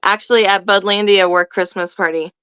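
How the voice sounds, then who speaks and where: A middle-aged woman with a smooth, clear voice who chats and tells stories easily. The recording sounds slightly dull, as if low quality. It is also dry, with no room sound. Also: fairly even intonation, fairly quick, fairly bright tone, no echo. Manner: chatty storyteller, laughs easily. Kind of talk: casual chat.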